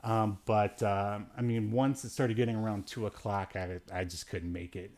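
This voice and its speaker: A man's voice: on the low side, fairly even intonation, moderate pace, darkish tone. A man hosting a casual livestream podcast, chatting in a rambling, dryly joking way. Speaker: podcast host. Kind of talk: casual solo livestream chat about obscure media.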